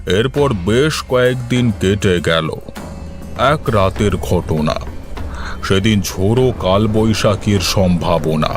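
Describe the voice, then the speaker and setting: A man narrates a scripted horror tale as storyteller. His voice is low and the pace very slow, with music clearly behind him.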